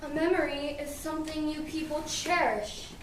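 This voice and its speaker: A young woman acting in a stage play as a cold, accusing figure who taunts with deliberate, pointed words. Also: sits high, little pitch movement, slight background noise, close to an everyday voice. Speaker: student actor in a one-act play.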